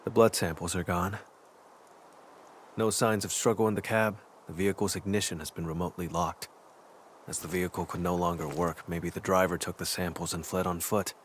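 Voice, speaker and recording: An actor voicing a brooding, determined male investigator in a video game, deliberate and grim in manner. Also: deep voice, fairly even intonation, slight background noise, close to an everyday voice. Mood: puzzled, focused.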